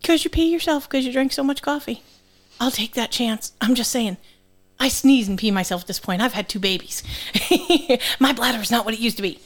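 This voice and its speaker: A woman's voice: fairly high pitch, moderate pace. A middle-aged woman with a New England accent touched by Southern, co-hosting a podcast in a blunt, sweary, teasing way. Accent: New England, with some Southern. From New England, United States. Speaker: podcast co-host. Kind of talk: casual chat with presented research.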